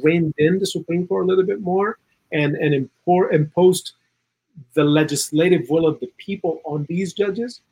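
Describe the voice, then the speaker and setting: A man from South America giving earnest, opinionated political commentary in a conversational way, with a husky voice and plenty of "you know". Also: sits high, steady tone, normal speed, a little mellow, rough recording. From South America, Chile. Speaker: interview guest, political and legal commentator.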